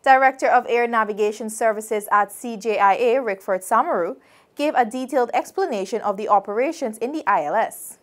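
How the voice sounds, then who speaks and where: Slightly high-voiced woman narrating. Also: brisk. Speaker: news feature narrator. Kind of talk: scripted narration.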